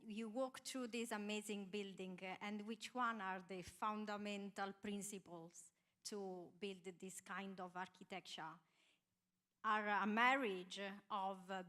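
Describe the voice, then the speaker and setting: Italian woman, thirties, Italian accent, medium pitch, halting delivery with frequent fillers. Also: a bit bright. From Italy. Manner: hesitant, many filler sounds. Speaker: panelist, architect. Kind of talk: self-introduction on a panel.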